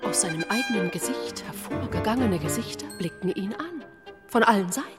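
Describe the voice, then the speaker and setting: A woman narrating a radio play in a solemn, poetic, dreamlike way, measured and incantatory. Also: medium pitch, expressive, over loud music, natural voice.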